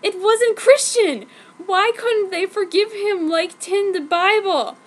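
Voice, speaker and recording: A woman's voice: very high-pitched, slow, voice pushed up. A woman voicing an overwrought, heartbroken teenage girl in an exaggerated, wailing mock-dramatic reading of fan fiction. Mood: anguished. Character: melodramatic teenage girl, heartbroken and easily outraged. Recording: clearly noisy home recording.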